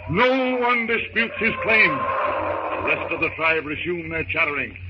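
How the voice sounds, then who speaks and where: A man's voice: fairly high pitch, very animated, unhurried. A man narrating a scripted old radio drama in a dramatic, suspenseful, declamatory manner, on a muffled, very noisy recording.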